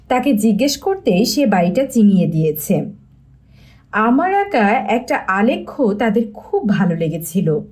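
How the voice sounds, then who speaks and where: A woman's voice: fairly high pitch, a little mellow. A woman reading a mystery story as scripted audiobook narration.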